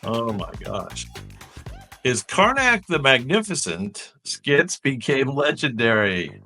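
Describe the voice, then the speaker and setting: Lively, bright-voiced man hosting trivia. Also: mid-range voice, takes it slowly, faint music behind. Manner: chatty, rambling asides between read-out facts. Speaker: live trivia show host. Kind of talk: casual live-stream trivia hosting, reading clues and chatting.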